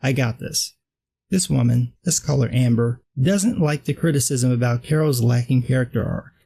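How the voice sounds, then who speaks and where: A man's voice: medium pitch, normal speed, vocal fry, warm tone, breathy edge. A man with a dry voice delivering a sarcastic, blunt scripted film commentary. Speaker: film critic vlogger.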